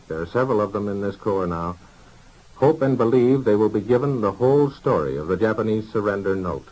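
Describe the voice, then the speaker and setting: A man delivering a live 1940s network radio news bulletin with formal, deliberate announcing from a newsroom. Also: fairly low pitch, darkish tone, rough recording. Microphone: broadcast studio microphone.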